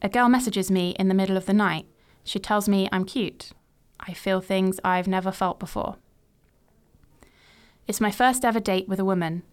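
A woman in her late twenties, from England, hosting a podcast and reading a personal story in a measured, reflective way. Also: medium pitch, clean tone. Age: 20s. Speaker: podcast host.

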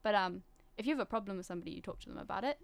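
A woman's voice: mid-range voice, expressive, very fast, gravelly. A young woman from Singapore with a British accent, talking reflectively and articulately in a casual conversation, often qualifying what she says. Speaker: discussion participant.

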